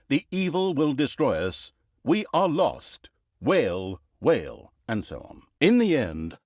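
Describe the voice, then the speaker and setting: A grandiose, mocking male narrator of a villain's fantasy game campaign. His fairly high voice swoops dramatically up and down with wry emphasis. The sound is dry and close, suited to mock-epic storytelling. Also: dry recording. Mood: mocking, sardonic.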